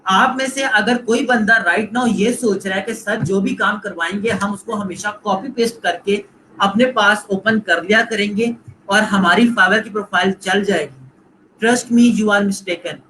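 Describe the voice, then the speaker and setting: A man's voice: high voice, a little slow, pushed and bright, a little hiss or hum, low-quality recording. A man with a Pakistani Urdu accent giving a live online lecture, coaching students and repeating points while mixing in English phrases. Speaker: online course instructor.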